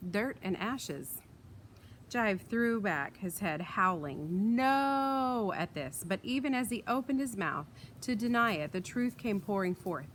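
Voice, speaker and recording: A woman reading a children's book aloud in an expressive storytelling style, recorded outdoors. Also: mid-range voice, moderate pace. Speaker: read-aloud reader. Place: outdoors in wind. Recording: light outdoor wind and ambient noise.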